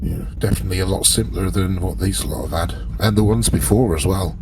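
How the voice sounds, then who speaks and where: A man's voice: sits low, brisk, background noise. A man taking part as a player in a tabletop roleplaying game, chatting casually and speaking for his character.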